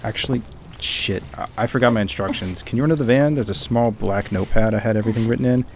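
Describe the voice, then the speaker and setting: Casting: male actor for practical, reassuring ritual-maker in audio drama; lowish, casual, matter-of-fact, clearly noisy watery background. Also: fast talker, a little mellow, natural voice. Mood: businesslike. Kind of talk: audio roleplay acting.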